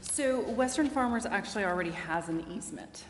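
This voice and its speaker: A woman legal adviser explaining a matter to a public meeting in a measured way. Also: mid-range voice, lively intonation. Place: council chamber. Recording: very echoey hall, faint noise.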